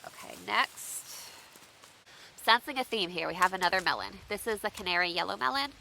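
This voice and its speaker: A woman's voice: medium pitch, lively intonation, a little fast, vocal fry, sharp tone, slight background noise, rough recording. A woman chatting casually outdoors to her viewers as she unboxes seeds, sounding a bit stuffy and congested from allergies. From United States. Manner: chatty, asks viewers for tips. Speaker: homestead vlogger. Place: outdoors under shifting trees.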